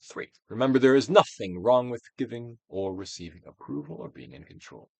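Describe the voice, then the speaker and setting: A man giving a dramatic, emphatic audiobook reading of a self-help book, moving between loud declarations and hushed phrases. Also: medium pitch, expressive, fairly quick, gravelly, no echo. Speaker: actor reading a book aloud.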